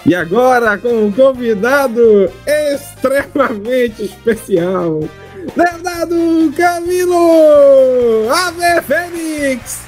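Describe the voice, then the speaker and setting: A man's voice: very high-pitched, lively intonation, very slow, darkish tone. A man with a Brazilian accent hosting a casual podcast interview. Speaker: podcast host. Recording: music in the background.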